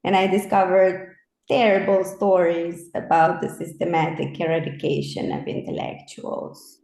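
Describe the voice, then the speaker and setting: A woman in her thirties speaks in an earnest, reflective way with a low voice. Her voice carries a noticeable room echo, as if recorded in a bare space. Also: fairly even intonation, a little slow, gravelly, darkish tone. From Romania. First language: Romanian. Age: 30s. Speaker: interviewer. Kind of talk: conversational interview. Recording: roomy echo.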